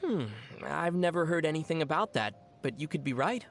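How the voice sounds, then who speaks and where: Actor as a dry, teasing young traveler, lively intonation. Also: medium pitch, fairly quick, a little hiss or hum, close to an everyday voice. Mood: deadpan playful. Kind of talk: video game dialogue.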